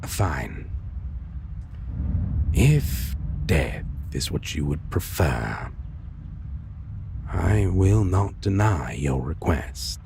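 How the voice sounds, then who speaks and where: A man playing a brooding, merciful yet menacing vampire lord in a dark fantasy audio roleplay, speaking formally and deliberately in half-whispered phrases. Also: extremely low pitch, big pitch swings, gravelly, noisy background, heavily acted. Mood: grim resignation.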